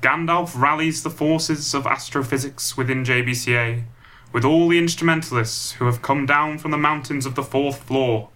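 A man narrating a comic pantomime in a scripted, dramatic storytelling style with deliberate phrasing. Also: mid-range voice, bright, forceful tone.

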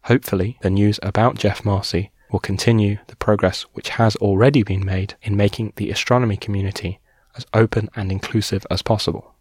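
A man reading a scripted news segment on a podcast in a measured, formal way. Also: fairly low pitch, fairly even intonation, darkish tone, husky, no room sound. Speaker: news presenter.

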